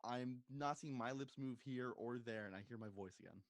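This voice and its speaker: A man's voice: medium pitch, brisk, dry recording, studio quality. A man in casual group chat, talking in a jokey, wisecracking way. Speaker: podcast and stream regular.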